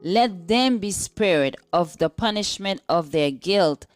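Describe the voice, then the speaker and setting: A woman's voice: fairly low pitch, lively intonation, slow, soft background music. A woman reading a scripted religious devotional aloud as narration. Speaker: devotional reader.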